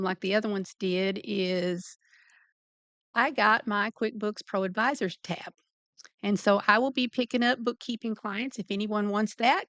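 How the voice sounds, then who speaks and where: A woman's voice: medium pitch, fairly bright tone, dry recording. A woman in her fifties hosting an interview show, talking in a chatty, folksy way. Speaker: talk show host. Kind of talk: casual interview chat.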